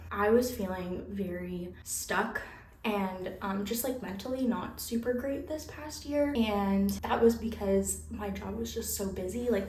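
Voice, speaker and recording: A woman's voice: medium pitch, darkish tone, distant mic. Chatty young woman, a bit nasal. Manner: chatty, candid, laughs often, says "like" a lot. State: nasal and scratchy after a flu. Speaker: vlogger and aspiring fantasy author. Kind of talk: casual vlog chat. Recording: roomy echo, faint ambient noise.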